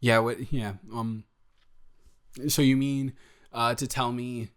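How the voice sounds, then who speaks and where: Casting: male actor for a sweet, easily flustered young boyfriend in intimate audio roleplay, mid-pitched, halting and stammering, eager and smitten. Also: steady tone, clear, resonant tone, natural voice. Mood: flustered, rambling. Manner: stammering, halting, trailing off and correcting himself.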